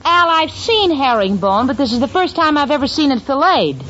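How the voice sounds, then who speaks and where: A woman's voice: high voice, very animated, moderate pace. An actress playing a sensible, exasperated young woman with dry sarcasm in 1940s American radio comedy. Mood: sarcastic, exasperated. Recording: muffled, noisy old radio recording.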